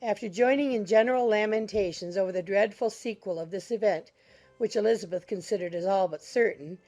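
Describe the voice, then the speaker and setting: A woman's voice: medium pitch, brisk, dark tone. A woman giving scripted literary narration for a dramatized audiobook.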